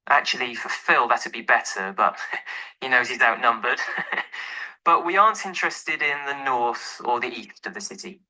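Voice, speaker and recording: A man's voice: mid-range voice, moderate pace, sharp tone, rough recording. A man playing an easygoing young professional in an acted phone dialogue, speaking casually and informally. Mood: casual, friendly. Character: easygoing young male professional phoning to rent a flat.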